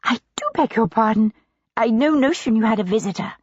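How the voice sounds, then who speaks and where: A woman reading an audiobook, voicing a flustered, eager young woman with a high, gushing manner. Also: fairly quick, breathy edge, no echo, lo-fi sound. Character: Lady Foley's eager, flustered younger sister.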